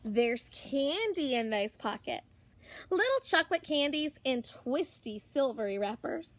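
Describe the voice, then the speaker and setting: A woman reading a children's picture book aloud as a teacher would, expressively, with drawn-out words and deliberate pauses. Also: high voice, big pitch swings. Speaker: primary school teacher.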